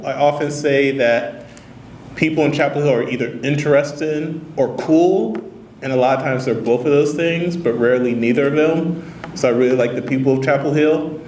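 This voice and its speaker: A man running for town council introducing himself at a public forum in a measured, plainspoken way. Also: a little high, darkish tone. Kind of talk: candidate introduction. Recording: echoey room, distant mic, faint noise.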